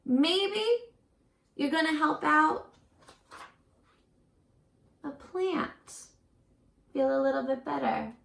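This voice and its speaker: A woman reads aloud to young children in a high, unhurried voice with some creak at the edges. She is gentle and encouraging, acting out the book and turning to the listener with questions, like a teacher with a class. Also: roomy sound.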